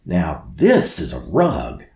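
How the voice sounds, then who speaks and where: A man's voice: a bass voice, huge pitch swings, slow, heavily acted. A man voicing a bold, mischievous, thrill-seeking young girl in a storybook, brash and exclamatory. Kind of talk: storybook character voice. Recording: roomy echo.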